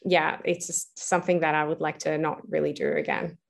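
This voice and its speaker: A young woman with a slightly low voice is answering interview questions about her own path. She speaks casually and thinks out loud, using plenty of fillers and restarting her sentences. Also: level tone, a little fast, gravelly. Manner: reflective, many fillers and restarts. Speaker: guest, entrepreneur.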